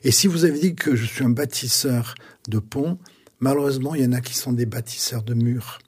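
Earnest older male pastor, husky voice. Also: medium pitch. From France. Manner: earnest, reflective, preacherly pauses. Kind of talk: radio interview, testimony.

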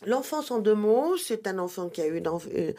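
A woman's voice: medium pitch, big pitch swings, normal speed, mellow. A woman whose first language is Arabic, a guest author explaining at length in a radio interview with many fillers and restarts.

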